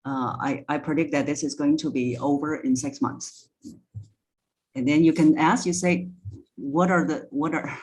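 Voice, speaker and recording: A woman's voice: deep voice, darkish tone, low-quality recording. Woman voice coach giving practical advice. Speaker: voice coach, interview guest. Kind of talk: conversational expert advice.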